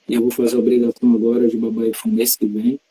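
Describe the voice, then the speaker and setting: A man's voice: medium pitch, monotone, dark tone, slightly rough, low-quality recording. A man from Salvador, a religious practitioner explaining his tradition patiently in an interview. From Salvador, Bahia, Brazil. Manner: measured, teacherly, explains terms patiently.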